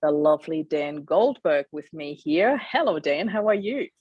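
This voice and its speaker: Croaky-voiced woman hosting an interview. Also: sits low, animated, moderate pace, no room sound, dull audio. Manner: warm, affirming, frequent murmurs of agreement. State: croaky voice from a cold. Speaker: podcast host.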